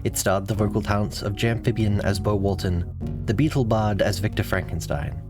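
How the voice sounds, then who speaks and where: A man's voice: lowish voice, moderate pace. A man reading scripted closing credits as an announcer. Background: music.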